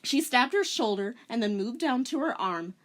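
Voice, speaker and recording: A woman's voice: fairly high pitch, expressive, normal speed. A woman hosting a comedic dramatic reading as a voice-over, narrating theatrically and breaking into informal, incredulous commentary. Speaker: host, story reader. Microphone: recorded voice-over. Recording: music under the voice.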